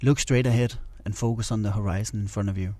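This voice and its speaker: A man narrates a guided meditation in a soft-edged, very mellow voice. His intonation stays flat and soothing as he gives calm instructions. The sound is close and very dry. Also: on the low side, quick pace, dry recording.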